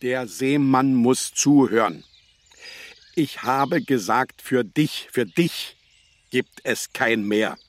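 An actor in a children's radio play playing a sly, worldly-wise older seafarer who teases and needles in sayings. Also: male voice, medium pitch, slow pace, lightly acted. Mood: haggling. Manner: teasing, needling, theatrical, speaks in sayings.

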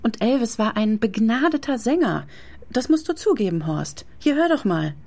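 Lively, high-voiced, insistent woman. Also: moderate pace, gravelly, heavy background noise. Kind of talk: German children's radio play acting.

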